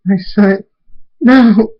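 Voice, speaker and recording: A man's voice: high-pitched, very slow, pitched above the performer's own voice, exaggerated voice. A man acts out a sorrowful mother mare from a cartoon story, in a raised, tender voice full of pleading. The recording is slightly dull. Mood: grieving, pleading. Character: tender grieving mother pony, adult female, pleading.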